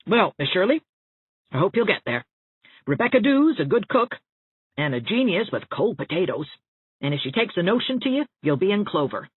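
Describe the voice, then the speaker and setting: A woman's voice: medium pitch, very animated, normal speed, breathy edge, narrow, tinny audio, voice pushed up. A woman voicing a chatty, well-meaning older small-town busybody in an audiobook, with a folksy, rambling, confiding manner. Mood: gossipy, confiding. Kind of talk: audiobook character dialogue. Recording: thin band-limited audio, roomy echo.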